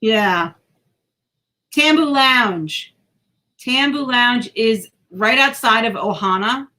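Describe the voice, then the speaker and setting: A woman's voice: fairly high pitch, animated, slow pace, pushed and bright. A woman co-hosting a podcast in casual chat, talkative and self-deprecating, sometimes tripping over her words. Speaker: podcast co-host.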